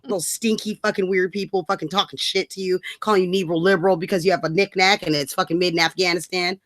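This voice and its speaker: A woman's voice: lowish voice, fairly even intonation, quick pace, no room sound. A woman co-hosting a rant show in casual banter, blunt and mocking, swearing freely. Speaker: co-host of a political rant show.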